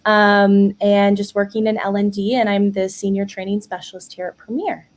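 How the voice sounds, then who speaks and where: A woman in her twenties from the Bay Area, a guest talking in a relaxed way, with many ums. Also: medium pitch, moderate pace. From San Francisco Bay Area. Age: 20s. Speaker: training specialist guest. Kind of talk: casual conversation.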